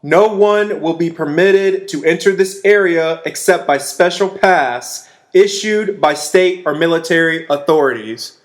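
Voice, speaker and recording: An actor playing a stern, authoritative militia general making a measured official proclamation in a radio drama. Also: male voice, fairly high pitch, natural voice. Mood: grave, official.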